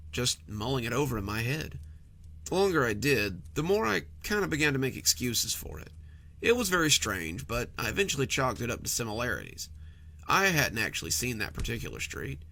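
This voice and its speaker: A man narrates a first-person horror tale as if recounting it himself. His mid-pitched voice moves in animated swings, with a bright, forward edge and a noticeable gravelly creak. The delivery is conversational but clearly scripted. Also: slight background noise.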